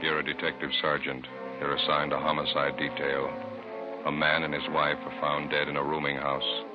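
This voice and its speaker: A man's voice: rumbling low, monotone, normal speed, music in the background. An American man giving a solemn, terse introduction to a 1950s radio crime drama. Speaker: opening announcer. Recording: dull vintage radio recording.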